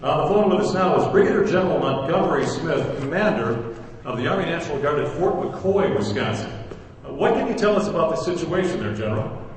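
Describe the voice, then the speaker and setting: An actor playing a serious radio news announcer in a radio play, relaying bulletins in formal, measured speech. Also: male voice, a little high, expressive, lightly acted. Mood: grave, formal. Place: theater stage, live radio play performance. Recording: very echoey hall from far off, faint noise.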